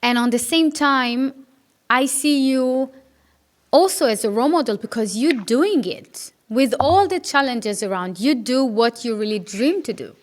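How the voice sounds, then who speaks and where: A woman's voice: a little high, measured pace. A woman from Israel hosting a talk show, chatting eagerly with her guest and often restarting her sentences. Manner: enthusiastic, with frequent restarts and fillers. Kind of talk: casual interview chat.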